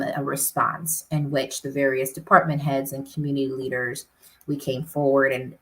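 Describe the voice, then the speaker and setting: A woman's voice: a bass voice, a bit bright, low-quality recording. A woman city councilor answering interview questions earnestly, often tagging points with "right?".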